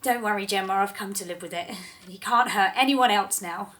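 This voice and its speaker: An actress playing a quietly resilient woman in an audio drama, speaking in a measured, reassuring way. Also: mid-range voice, close to an everyday voice. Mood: calm, reflective.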